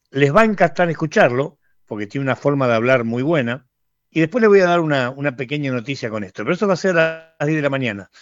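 A man's voice: mid-range voice, no room sound, highs cut off. A man with an Argentine accent hosting live radio in a casual, chatty way that often wanders off on asides. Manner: chatty, digressive. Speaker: radio host. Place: radio studio.

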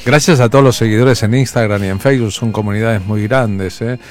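Low-voiced male radio host. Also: steady tone, a little fast, darkish tone. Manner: thanking listeners, reading messages. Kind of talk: late-night radio talk.

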